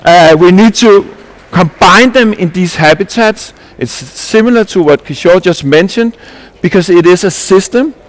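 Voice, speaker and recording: A man from Denmark speaks with a somewhat high-pitched voice. He gives an earnest conference presentation as a landscape architect. He often restarts his sentences and asks rhetorical questions. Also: measured pace.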